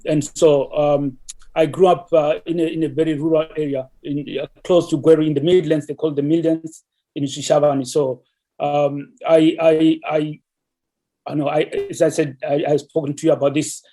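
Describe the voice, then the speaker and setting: A middle-aged man from Zimbabwe answers interview questions in a soft, mellow voice that sits a little high for a man. He talks thoughtfully, often stopping to restart and repeat words before going on. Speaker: interview guest, mathematics professor.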